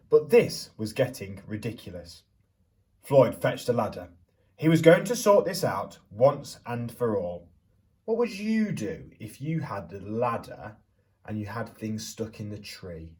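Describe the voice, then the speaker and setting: A man reading a picture book aloud to young children as a teacher would, theatrically, pausing to put questions to them. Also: medium pitch, big pitch swings, a little mellow, recorded from a distance. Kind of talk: expressive children's storybook reading with questions to listeners.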